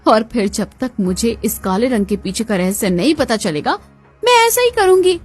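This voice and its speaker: A woman's voice: on the high side, very animated, normal speed, a little mellow, husky, soft background music. A woman voicing a sarcastic, defiant young daughter-in-law in a family cartoon, dramatic and mock-sweet.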